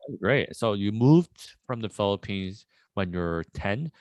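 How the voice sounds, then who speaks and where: A man hosting a casual podcast interview, rambling and relaxed with many fillers. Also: lowish voice, unhurried, dry recording. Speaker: podcast host.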